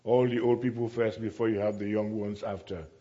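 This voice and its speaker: A man's voice: lowish voice, little pitch movement, fairly quick, dark tone. Emphatic male preacher, dull lo-fi recording. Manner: emphatic, repeats phrases, rhetorical questions to listeners. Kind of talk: sermon to a congregation.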